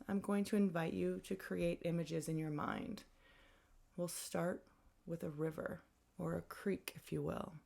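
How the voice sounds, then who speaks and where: Female meditation guide, lowish voice with heavy vocal fry, gently inviting and conversational in delivery.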